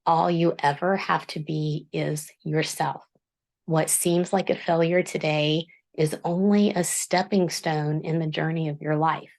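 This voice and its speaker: Low, gravelly woman musing earnestly. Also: steady tone, a little mellow. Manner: earnest, measured, self-deprecating. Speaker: author and book channel host. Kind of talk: reflective scripted monologue.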